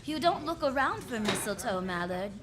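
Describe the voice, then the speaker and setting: A woman's voice: a little high, big pitch swings, moderate pace, crowd noise. A woman playing a wry, flirtatious, self-assured private eye in the style of 1940s radio drama, full of slangy quips. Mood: teasing. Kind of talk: 1940s radio drama acting with first-person narration.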